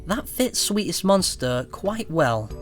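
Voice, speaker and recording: A man hosting a video review, reading a scripted narration in a measured way with trailing asides. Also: high-pitched, big pitch swings. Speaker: video game reviewer, channel host. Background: music.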